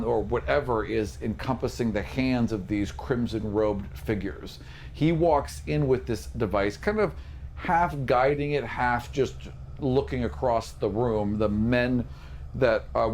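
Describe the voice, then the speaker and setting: A man working as a game master, describing scenes for a tabletop fantasy game in short, halting phrases. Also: medium pitch, darkish tone, slight background noise. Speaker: game master and podcast host.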